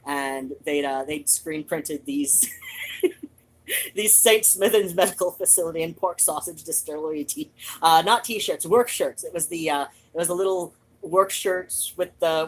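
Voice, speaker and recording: A woman hosting a show, chatting casually in an animated, digressive, freely swearing way. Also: low-pitched, unhurried. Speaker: host of a reading and chat show. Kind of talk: rambling casual personal chat.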